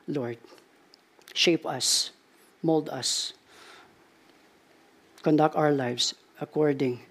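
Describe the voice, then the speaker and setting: Gravelly pastor closing a sermon. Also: male voice, on the high side. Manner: earnest, repeats phrases for emphasis. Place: church.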